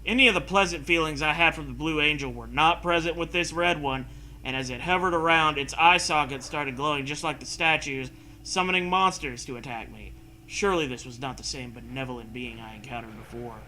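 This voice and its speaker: Bright, forceful man, sarcastic read-aloud. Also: a little high, a little fast, a little hiss or hum, poor audio. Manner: sarcastic, swearing. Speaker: host reading and mocking fan fiction. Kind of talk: story read-aloud with mocking casual commentary.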